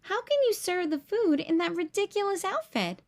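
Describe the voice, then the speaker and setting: Put-upon storybook boy, read by a woman, high voice, glum and complaining. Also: animated, normal speed. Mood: exasperated.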